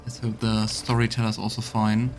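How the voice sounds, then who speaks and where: A man's voice: lowish voice, monotone, normal speed. A man giving casual game commentary as a let's play streamer, speaking into a close mic. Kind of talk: casual game commentary mixed with reading dialogue aloud. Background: game music.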